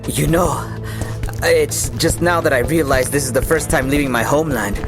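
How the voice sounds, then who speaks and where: A man's voice: mid-range voice, moderate pace, natural voice. A man acting in an audio drama as a young, casual and cocky fighter who narrates his own story in a wry way. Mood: wry, chatty. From Philippines. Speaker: voice actor narrating in character. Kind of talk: audio drama dialogue and first-person narration. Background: background music.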